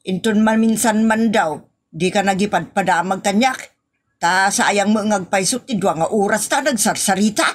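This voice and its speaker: A woman's voice: mid-range voice, moderate pace, lightly acted. A woman with an Ilocano accent playing a nosy, exclamatory village gossip in a comedy audio drama. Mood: curious. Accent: Ilocano, northern Philippines. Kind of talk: comedy radio drama dialogue.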